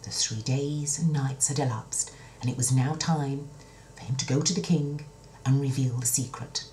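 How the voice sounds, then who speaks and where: A woman's voice: very deep voice, normal speed, warm tone, reverberant, slight background noise, poor audio. A woman telling a children's story in a dramatic, theatrical way, repeating words for suspense.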